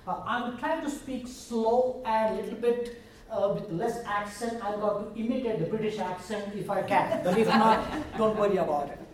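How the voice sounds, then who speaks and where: A man's voice: high-pitched, moderate pace, crowd noise. A man with an Indian accent, a biology professor from India, giving a chatty, self-deprecating university lecture. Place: lecture room. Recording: roomy hall, heard from far.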